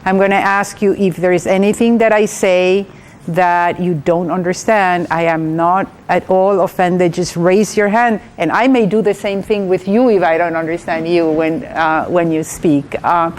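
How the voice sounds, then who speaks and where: A woman with a Guatemalan accent giving a training talk as a guest speaker, in a halting way with repeated words. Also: lowish voice, fairly even intonation, a little mellow. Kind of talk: training presentation to an audience. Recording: clearly noisy live room recording.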